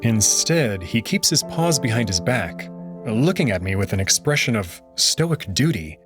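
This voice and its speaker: A man narrating a visual novel in first person with an expressive, conversational delivery. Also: medium pitch, measured pace, over music.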